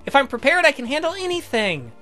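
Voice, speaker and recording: A man's voice: very high-pitched, sing-song, quick pace, sharp tone, faint music behind, pitched above the performer's own voice, full character voice. A man voicing a willful young lady in a visual novel, the adoring bride of a vampire, sweet, eager and self-assured. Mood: confident. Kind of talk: voiced visual novel dialogue.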